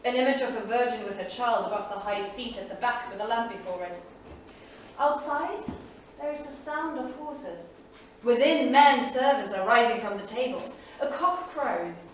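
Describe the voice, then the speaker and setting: A woman's voice: on the high side, normal speed, natural voice. A woman playing a formal stage narrator, reading out verse and scene directions like a storyteller. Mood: scene-setting. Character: female stage narrator announcing dates and scenes. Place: small studio theatre, staged reading. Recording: echoey theatre, distant mic, faint noise.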